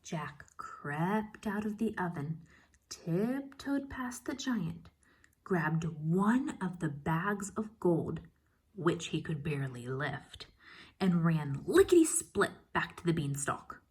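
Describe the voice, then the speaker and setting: A woman teacher reading a children's story aloud expressively, pausing to ask questions and explain. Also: fairly low pitch, very animated, a little mellow, slightly rough. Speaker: first grade teacher.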